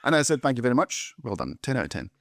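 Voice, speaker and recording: A man's voice: mid-range voice, big pitch swings, rapid-fire, no room sound. A man with a Scottish accent co-hosting a podcast, telling jovial stories about his classroom pranks. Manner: loud, jovial storyteller. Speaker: podcast co-host, former teacher. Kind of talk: casual chat, storytelling.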